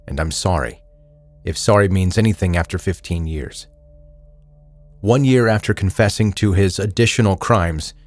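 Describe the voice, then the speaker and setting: A man's voice: low voice, dry recording. A man hosting a true crime podcast, reading scripted narration with casual asides.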